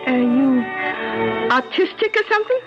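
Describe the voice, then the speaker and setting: Brassy gold-digging flirt, high voice, sassy slang, streetwise, coaxing, muffled vintage radio drama. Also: female voice, lively intonation, moderate pace. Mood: sassy, curious. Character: brassy, flirtatious, money-minded woman of the town. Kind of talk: old radio drama acting. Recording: muffled old radio drama, loud music behind.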